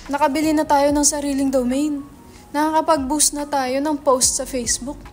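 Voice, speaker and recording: A woman's voice: high voice, flat delivery, moderate pace, a little mellow, soft background music, lightly acted. A woman with a Filipino accent acting a brash, sarcastic, money-minded young entrepreneur in a dramatic reading, mixing English into Tagalog. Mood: insistent. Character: brash, money-minded male website entrepreneur, teasing his friend. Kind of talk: dramatic reading of a stage script.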